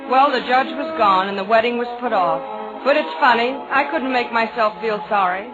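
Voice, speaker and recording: A woman's voice: a little high, normal speed, music in the background, lightly acted. A woman playing a romantic, slightly flustered young heroine in a 1940s radio drama, with a conversational, hesitant manner. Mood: wry, confiding. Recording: dull vintage radio broadcast.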